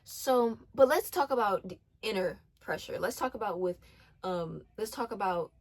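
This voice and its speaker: A woman's voice: medium pitch, expressive, normal speed. A twenty-year-old woman giving casual faith-based advice, earnest, with many ums, restarts and repeated phrases. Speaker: faith vlogger.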